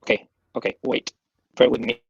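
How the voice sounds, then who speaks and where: A man with a Spanish accent, a guest on a live stream, speaking haltingly while his audio sounds distorted and distant. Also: no room sound, dull audio. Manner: halting, searching for words. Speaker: guest digital marketer.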